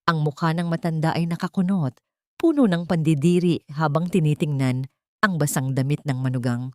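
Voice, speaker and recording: Female narrator for scripted dramatic fiction, lower register, close dry sound. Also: moderate pace, a little mellow, dry recording. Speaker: storyteller.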